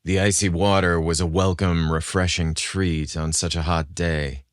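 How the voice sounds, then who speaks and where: Male narrator for romance audio fiction, notably low register, dry sound. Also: flat delivery, measured pace, no echo. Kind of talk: scripted romance story narration.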